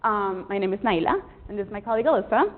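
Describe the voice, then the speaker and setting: A woman's voice: medium pitch, animated, fast talker. A woman from Rico, giving an earnest academic presentation to a room full of students, with frequent ums. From Puerto Rico. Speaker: research presenter. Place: lecture room with an audience. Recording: tinny, echoey lecture hall recording.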